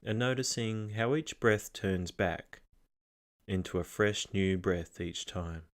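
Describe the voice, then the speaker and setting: Low-voiced man guiding a meditation. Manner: calm, measured, gently instructing. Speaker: meditation guide. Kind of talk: guided meditation, scripted narration.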